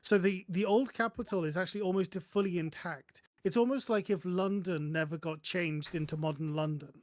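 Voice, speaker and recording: A man explaining things casually on a panel podcast, halting often and correcting himself mid-sentence. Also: sits high, no room sound.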